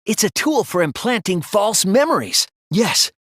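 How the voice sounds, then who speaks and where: A thoughtful teenage male detective from a video game, reasons aloud with earnest resolve. His voice is breathy and very dry in the recording, with lively swings of pitch as he deliberately builds and presses each deduction. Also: dry recording, exaggerated voice. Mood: forceful, shocked. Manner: deliberate, reasoning aloud, emphatic when refuting. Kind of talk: English video game voice acting, dramatic deduction scenes.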